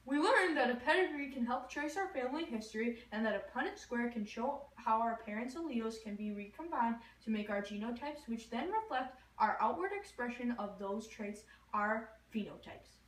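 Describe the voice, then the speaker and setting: A young woman delivers scripted lines for an educational skit. Her voice is high, and the room rings with echo around a distant mic. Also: animated, moderate pace, hardly any pauses. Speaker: puppet show performer.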